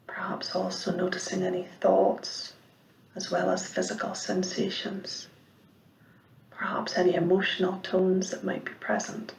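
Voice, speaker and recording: Creaky-voiced woman guiding a meditation. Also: on the low side, flat delivery, in a whisper. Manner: measured, gentle delivery with long pauses. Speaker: mindfulness teacher. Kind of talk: guided meditation instruction. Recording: roomy, echoing sound.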